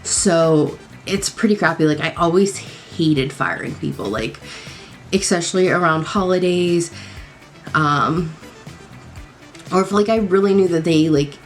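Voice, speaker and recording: A young woman with a low voice talks through her makeup routine in a loose, rambling way, full of fillers. She still sounds a little nasal after a cold. Faint music plays behind her. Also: a little slow. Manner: rambling, lots of likes and ums. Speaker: beauty vlogger. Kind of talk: casual chat while doing makeup. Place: home at night.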